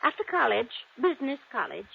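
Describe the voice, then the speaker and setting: A wealthy, theatrical widow in a vintage radio mystery. She speaks fairly high with lively swoops and a creaky edge. She sounds guarded and dismissive on an old, noisy recording. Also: a little fast, highs cut off, exaggerated voice. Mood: dismissive, confiding. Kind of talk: old-time radio drama acting.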